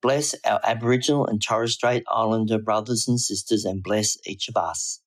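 A man's voice: lowish voice, steady tone, moderate pace. A man reading a scripted acknowledgement and prayer. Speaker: prayer leader.

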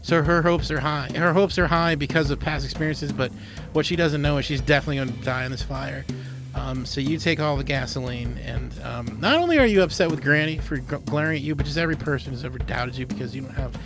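A man's voice: on the high side, fast talker, over music. A man on a comedy podcast, improvising absurd stories in a casual, matter-of-fact way. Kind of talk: improvised comic storytelling in second person.

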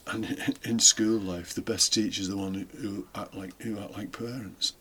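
A British man delivers a homily in a fairly low, bright voice. He explains in a reflective, conversational way, dropping in informal asides. There is faint noise behind him. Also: steady tone, moderate pace. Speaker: homily podcast host, deacon. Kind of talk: informal homily, partly scripted.

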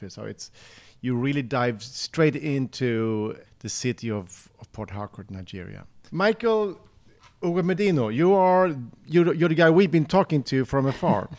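A man hosting an interview, asking questions in a halting way with frequent restarts. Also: mid-range voice, animated, a little mellow. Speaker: host. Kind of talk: casual interview.

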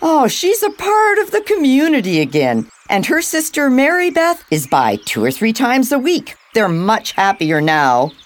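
An actress plays a kindly older woman in an audio drama. She talks slowly with big swoops of pitch, chatty, expressive and a little wistful. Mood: chatty, sympathetic.

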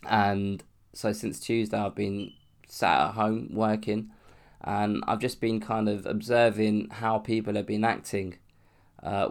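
Rambling young man with a cold. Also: fairly low pitch. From London. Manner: rambling, restarts often, swears casually. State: has a cold and sore throat. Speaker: podcast host. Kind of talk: casual solo podcast monologue.

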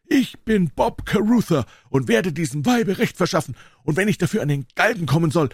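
A man voicing a desperate, frantic man rushing to a rescue, in a strained, high shouting voice. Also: expressive, husky, dry recording, clean studio sound, heavily acted. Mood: furious.

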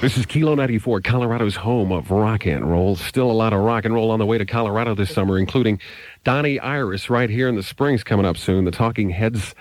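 A man's voice: lowish voice, fast, soft background music. A man working as a radio DJ and interviewer, announcing in a 1980s rock radio style on an old hissy cassette.

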